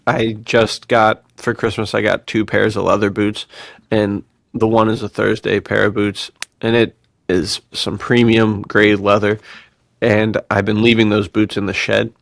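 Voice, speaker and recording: A low-pitched American man with plenty of vocal fry co-hosts a casual podcast. He talks in a slangy, bro-ish way, telling stories. The sound is dry and close, with no room on it. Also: little pitch movement, measured pace, fairly bright tone, dry recording. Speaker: podcast co-host.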